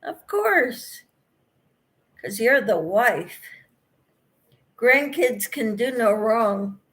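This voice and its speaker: A woman's voice: on the high side, extremely slow. A middle-aged woman casually chatting with viewers on a livestream, reading their comments aloud and laughing often. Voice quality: speaks in a monotone, as her husband says.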